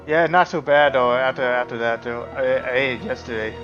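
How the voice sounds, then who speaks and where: A male game streamer with a mid-pitched voice chats casually as he plays. The sound is dull and lo-fi, with faint game music behind him. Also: normal speed. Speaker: game livestreamer. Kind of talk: casual livestream chat and commentary.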